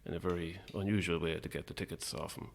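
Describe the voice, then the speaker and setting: A middle-aged man with an Irish accent speaks in a very low voice with a creaky, gravelly edge. He chats informally as an interview guest, in a modest, self-deprecating way. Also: steady tone, quick pace, slight background noise. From Ireland. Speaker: guest, playwright and actor. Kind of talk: casual interview chat.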